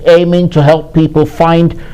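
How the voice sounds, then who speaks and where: A male news interviewer with a mellow, slightly high-pitched voice. He asks long, winding questions and restarts his phrases as he goes. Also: little pitch movement, a little slow, clean tone.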